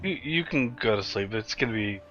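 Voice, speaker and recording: A man's voice: lowish voice, expressive, brisk, highs cut off, music in the background. A man playing in an online tabletop game, chatting casually and joking crudely with free swearing.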